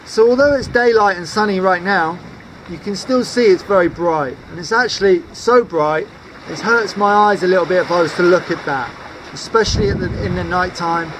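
Upbeat high-voiced man outdoors by the sea. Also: moderate pace, fairly bright tone, slight background noise, low-quality recording. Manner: upbeat, practical, direct. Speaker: sea kayaking instructor. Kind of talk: outdoor gear demonstration to camera. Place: outdoors on coastal rocks by the sea.

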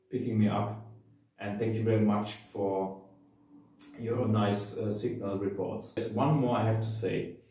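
A man in his thirties speaks slowly and casually to camera. His voice is mellow and fairly low, heard from a little distance in a very echoey room. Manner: spells out call signs in phonetic alphabet. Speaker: amateur radio operator and vlogger. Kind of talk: radio contact calls and casual talk to camera. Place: outdoors in open countryside, later a bare indoor room. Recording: windy noisy field or echoey room, distant.

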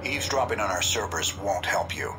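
An actor playing a stern, controlled leader of a covert intelligence cell in a video game, measured and firm. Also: male voice, deep voice, expressive, music in the background. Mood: stern, guarded.

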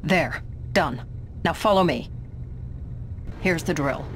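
A woman's voice: low voice, slow pace, slight background noise. A woman voicing a tough, bossy intelligence agent with a curt, commanding manner in a game. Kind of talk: video game cutscene acting. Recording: loud music behind the voice.

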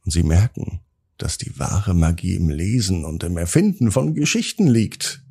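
A low-voiced man reads a children's bedtime story with a husky, creaky tone. His pitch swings expressively while he draws out phrases and leaves dramatic pauses. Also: sing-song, mellow. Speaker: children's podcast host and storyteller.

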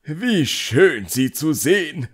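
A man doing a loud, high, self-important magician. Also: sing-song, slightly rough, heavily acted. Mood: gushing. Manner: effusive, loud, drawn-out. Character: irritable, fawning, self-important middle-aged male magician.

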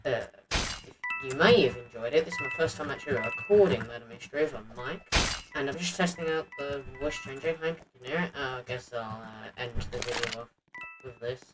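A man's voice: medium pitch, fairly quick, low-quality recording. A man hosting a gaming video, chatting casually and self-deprecatingly to viewers as he plays. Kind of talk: casual gameplay commentary. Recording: quiet mic, music behind.

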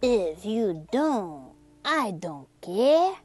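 A woman voicing an excitable young boy frog from a storybook, hammy and exclamatory. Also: mid-range voice, soft background music, full character voice. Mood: hammy, spooky. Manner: exclamatory, hammy, drawn-out words.

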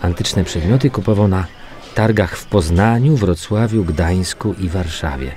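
An actor playing a matter-of-fact, weary male police investigator in a radio drama, muttering and repeating himself haltingly. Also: deep voice, mellow. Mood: wry, businesslike. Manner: halting, muttering repetitions while reading out reports. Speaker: actor in an audio crime drama. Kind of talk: naturalistic radio drama dialogue.

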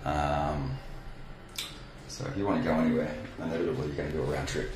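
A man's voice: very deep voice, steady tone, fairly quick, crowd noise. A man casually narrating a walk-through review as a vlogger, recorded on a handheld phone while walking around. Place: walking around a hotel, rooms and rooftop.

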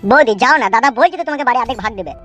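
A cocky male cartoon sidekick, voiced by a woman with a high voice and a very fast delivery. The talk is slangy and sharp-tongued, over faint music. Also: lively intonation. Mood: placating. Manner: mocking, slangy, swearing. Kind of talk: comedy cartoon dialogue.

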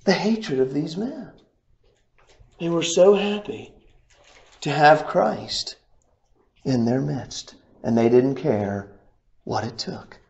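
Young male preacher at a pulpit, slow delivery, high voice that jumps up and drops low, loud then hushed. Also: vocal fry, lo-fi sound. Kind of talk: sermon. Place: church sanctuary, at a pulpit.

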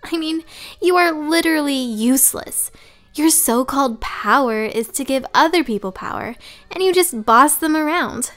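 Young female cartoon villain, high voice, sneering and vengeful, woman acting, faint music behind. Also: moderate pace, fairly bright tone. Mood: mocking defiance. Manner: taunting, sneering. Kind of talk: fan comic dub acting.

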